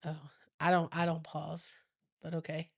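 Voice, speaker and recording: A woman's voice: deep voice, completely flat delivery, measured pace, vocal fry. Chatty woman with a slightly stuffy nose. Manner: chatty, rambling, laughs easily. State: slightly stuffy from a sinus infection. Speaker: podcast co-host. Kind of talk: casual chat.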